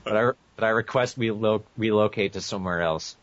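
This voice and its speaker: A very low-pitched man reads fan fiction aloud in a casual podcast style, stumbling over words and correcting himself. The recording is dull and lo-fi. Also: brisk. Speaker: podcast co-host. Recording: muffled, echoey lo-fi podcast audio.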